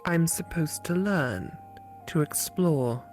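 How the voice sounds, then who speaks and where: A woman's voice: low-pitched, expressive, takes it slowly, a little mellow, faint music behind. A woman voicing an earnest, curious female AI companion in a visual novel, with a quizzical, wondering manner. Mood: wistful.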